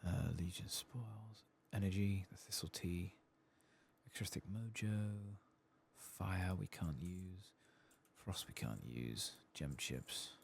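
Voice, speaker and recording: A soft-spoken man giving casual ASMR game commentary, muttering as he thinks aloud, close to the microphone. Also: low voice, takes it slowly, gravelly, a little hiss or hum. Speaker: host of a gameplay channel.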